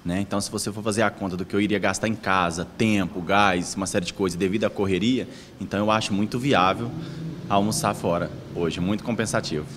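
A man's voice: fairly low pitch, fairly even intonation, fast, fairly bright tone. A middle-aged man with a Brazilian accent giving an informal interview answer as a customer. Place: indoors in a restaurant dining room. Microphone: handheld reporter microphone. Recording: reverberant restaurant with faint noise.

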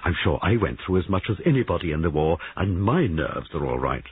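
A man's voice: deep voice, very animated, a little fast, breathy edge, narrow, tinny audio, heavily acted. Man voicing a haughty, acid-tongued woman. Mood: scornful. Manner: snappish, disdainful, clipped.

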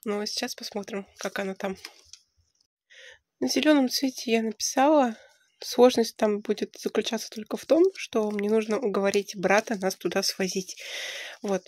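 A young woman with a slightly hoarse voice from a cold, chatting casually as she hosts a travel vlog and explains plans to a companion. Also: medium pitch, fairly even intonation, normal speed, a little mellow, no echo, clean studio sound.

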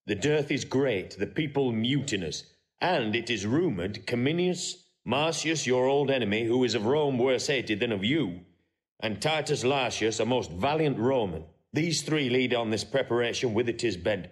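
An actor playing a proud, shrewd warrior general in a classical Shakespearean audio drama, declaiming crisp verse. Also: male voice, medium pitch, animated, normal speed, lightly acted. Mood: grave, scheming. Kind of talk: classical Shakespearean stage acting.

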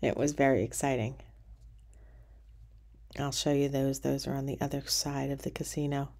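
A middle-aged woman casually narrating a walking tour of a cruise ship casino, relaxed and pointing things out as she goes. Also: rumbling low, moderate pace, vocal fry.

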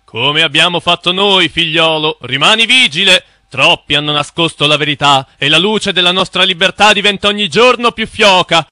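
A man's voice: high-pitched, moderate pace, hardly any pauses, bright, forceful tone, no room sound, studio quality. A man playing the ghost of a stern old statesman in a cartoon, solemn and oratorical. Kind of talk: dubbed cartoon dialogue.

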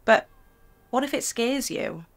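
An actress playing a hopeful young woman who dreams of a stage career, speaking in a slow, wistful, hesitant way. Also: a little high, expressive, creaky. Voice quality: sings softly.

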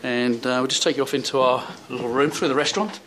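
A man's voice: medium pitch, steady tone, quick pace, fairly bright tone, slight background noise. Rambling man filming a hotel tour. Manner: rambling, many fillers and restarts. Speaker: travel vlogger. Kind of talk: handheld walking tour narration. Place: hotel rooms and seaside street. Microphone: handheld camera.

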